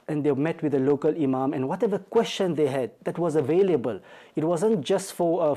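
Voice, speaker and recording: A man from Mauritius with a Mauritian accent, a marital counselor speaking as a guest on a talk show, measured with frequent hesitations and restarts. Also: a little high, mellow. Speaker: marital counselor and Islamic studies teacher.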